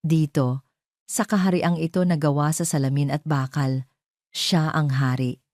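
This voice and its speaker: Female narrator, low and mellow, for scripted romance drama in a dry studio sound. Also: steady tone, unhurried, dry recording.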